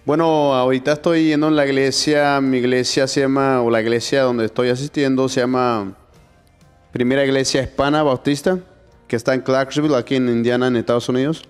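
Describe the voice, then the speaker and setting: A man's voice: medium pitch, steady tone, brisk, smooth, big-room sound, faint music behind. A young man from Guatemala, a guest sharing his faith testimony, who speaks hesitantly with many fillers. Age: young adult. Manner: hesitant, many "este" fillers, soft-spoken. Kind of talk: testimony interview.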